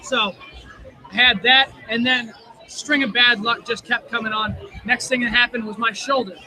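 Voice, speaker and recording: A man's voice: very high voice, steady tone, moderate pace, pushed and bright, poor audio. A man in his twenties, an injured wrestler, talking earnestly and confidently in a casual interview at a live wrestling show. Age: 20s. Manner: earnest and self-assured, plain talk. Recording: crowd noise at a live wrestling event.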